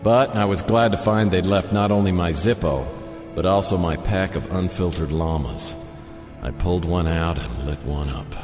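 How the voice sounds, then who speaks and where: A wry, down-on-his-luck American private eye played by a man tells his story in an extremely deep voice. The delivery is deadpan and self-mocking, in noir radio drama style. Music plays clearly behind the voice. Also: normal speed, in a large hall, close to an everyday voice. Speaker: lead character and narrator. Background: prominent music.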